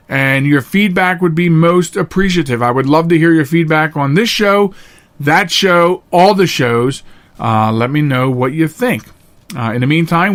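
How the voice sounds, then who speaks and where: Guy doing a solo podcast monologue, voice a bit on the high side, earnest and emphatic, repeats himself for emphasis. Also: fairly bright tone. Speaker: podcast host. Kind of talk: solo talk show monologue, directly addressing listeners.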